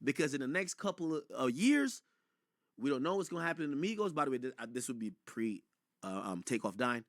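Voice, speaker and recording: A man's voice: medium pitch, expressive, quick pace, no echo, studio quality. A man giving emphatic, opinionated, profanity-laced live commentary as a streamer talking to his chat. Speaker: streamer commenting on rap news; record label owner.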